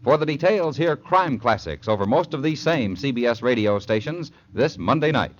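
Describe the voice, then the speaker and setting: A man's voice: mid-range voice, expressive, normal speed. A man announcing in a formal, polished mid-century radio style, reading scripted program promotion. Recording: dull old radio recording.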